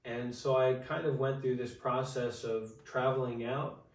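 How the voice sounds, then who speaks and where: A man in his twenties, telling his life story as an interview guest in a halting way, with many fillers and restarts. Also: medium pitch, steady tone. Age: 20s. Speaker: interview guest, Buddhist monk. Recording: echoey room, far from the mic.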